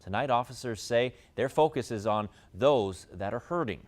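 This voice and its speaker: A man's voice: mid-range voice, lively intonation, normal speed. A man with an American accent reading scripted television news as an anchor.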